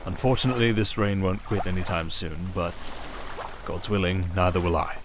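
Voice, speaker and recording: A man's voice: deep voice, normal speed, noisy background. A British man playing a sardonic, restrained siren in an intimate ASMR roleplay, speaking in a wry, hesitant, confiding way. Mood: urgent. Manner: wry, hesitant, confiding, addressing one listener. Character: sardonic, restrained, softly dangerous male siren sea creature. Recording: water and storm ambience behind voice. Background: running water and rain ambience.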